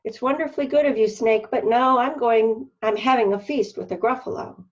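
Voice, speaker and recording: A woman's voice: a little high, moderate pace, muffled recording, close to an everyday voice. A woman voicing a small, clever, boastful storybook mouse with bold, emphatic delivery. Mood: polite, sly.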